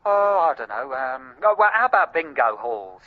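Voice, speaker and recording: A man playing a chatty, excitable English friend on the phone in a radio drama, gossipy and incredulous. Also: mid-range voice, moderate pace, phone-quality sound, close to an everyday voice. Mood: curious, concerned.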